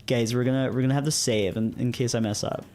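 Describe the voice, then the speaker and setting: A man's voice: medium pitch, fairly even intonation, fast, a little mellow, faint music behind. A man reading visual novels aloud and chatting casually as a streamer, often repeating himself in informal slang. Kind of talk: casual gaming chat and read-aloud narration.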